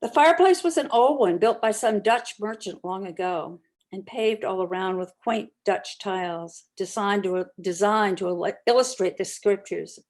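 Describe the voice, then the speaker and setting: A woman reading classic literature aloud as narrator, with expressive, deliberate dramatic storytelling. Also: medium pitch. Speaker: narrator, community reader.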